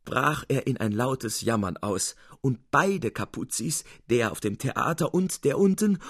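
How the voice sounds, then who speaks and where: A man narrating a literary tale in a formal, dramatic, expressive storytelling style. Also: medium pitch, a little slow, husky, dry recording, studio quality.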